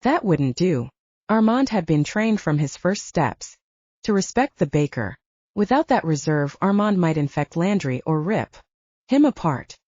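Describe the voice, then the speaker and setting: A woman's voice: lowish voice, sing-song, unhurried, a little mellow, no room sound, highs cut off. A woman reading scripted first-person fiction as an audiobook narrator.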